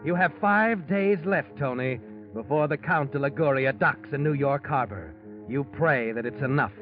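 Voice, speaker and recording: Man acting a haunting radio mystery narrator over loud music. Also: medium pitch, close to an everyday voice. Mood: ominous, pressing. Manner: haunting, knowing, deliberate dramatic delivery. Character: omniscient sinister male narrator taunting the guilty protagonist. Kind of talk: 1940s radio mystery narration in second person. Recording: muffled old radio recording with loud music.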